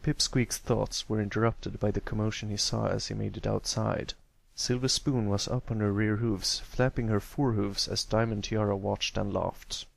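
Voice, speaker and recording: A man with a gravelly voice reading a scripted story as an amateur narrator. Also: lowish voice, steady tone, moderate pace. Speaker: amateur audiobook reader.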